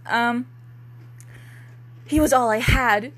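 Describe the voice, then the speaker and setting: A woman's voice: fairly high pitch, slow, a bit bright. A young woman giving an amateur dramatic reading of fiction, with casual, giggly, self-mocking banter. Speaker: co-host, author reading own fan fiction.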